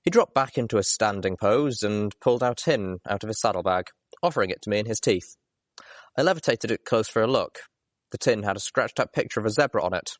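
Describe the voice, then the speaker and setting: Low-voiced man reading a story. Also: expressive, fairly quick, dry recording, clean studio sound. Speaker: audiobook reader. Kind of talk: expressive first-person story narration.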